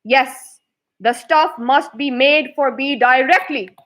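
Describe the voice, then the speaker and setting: A woman's voice: high voice, slow, fairly bright tone. A woman voicing a vain, self-assured emperor in a children's fairy tale. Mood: eager, self-satisfied.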